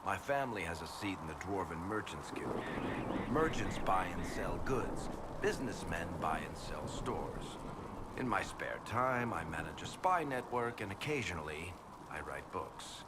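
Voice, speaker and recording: A roguish dwarf storyteller in a fantasy game. His voice sits very low and his delivery is dry and sardonic, easygoing and smooth. Loud ambient noise runs behind him. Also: very animated, a little slow. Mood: wry, frank. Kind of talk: video game dialogue acting.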